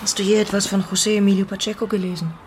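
An actress playing a lonely, frank middle-aged married mother in a radio drama, speaking in a halting, reflective way. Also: mid-range voice, fairly even intonation, a little mellow, a little hiss or hum, close to an everyday voice. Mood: resigned, tender.

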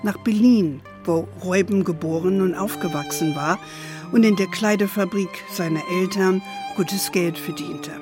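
An actress playing an older, indulgent grandmother telling stories in a children's radio play, with a playful, calm manner. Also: medium pitch, over music. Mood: gentle storytelling. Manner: unhurried, sprinkles English endearments.

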